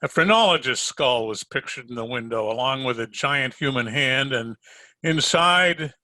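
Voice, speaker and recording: Guy doing a smart-aleck radio detective, real sharp bright voice, dry sound, sarcastic and slangy. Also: mid-range voice, animated, moderate pace, no echo. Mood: wry, narrating. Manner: sardonic, needling, slangy. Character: wisecracking, sardonic male private detective narrator. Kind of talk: old-time radio detective narration and acting. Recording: home recording over video call.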